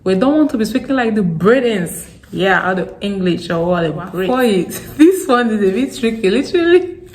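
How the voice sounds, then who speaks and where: A young woman from Nigeria's Delta with a strong Deltan accent, giving frank, animated advice to camera in a casual vlog. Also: medium pitch, moderate pace. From Nigeria, Delta State. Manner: frank, animated, laughing asides. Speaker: vlogger. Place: home in Accra.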